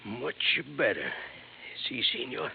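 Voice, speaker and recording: An actor playing a simple, earnest museum guard in a 1950s radio drama, scratchy old recording. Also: male voice, medium pitch, very animated, takes it slowly, vocal fry, lo-fi sound, full character voice. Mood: proud.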